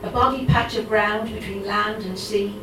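A middle-aged woman with a Dublin Irish accent reads her poems aloud at a live event, steady and measured, slipping into halting, um-filled chat between pieces. She sounds set back from the microphone in a reverberant room. A steady murmur of room ambience sits underneath her. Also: mid-range voice, noisy background. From Ireland. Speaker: poet. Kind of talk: live poetry reading with chatty asides. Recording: roomy, distant mic, audible room ambience.

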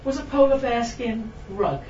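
A woman's voice: on the high side, expressive, warm tone. Welsh woman poet in a roomy hall. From Wales. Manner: chatty and self-mocking, with frequent ums and laughs; slow, lingering delivery when reading verse. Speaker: poet giving a reading. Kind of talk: poetry reading with chatty asides. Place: room with a live audience. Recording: distant, roomy, dull recording with background noise.

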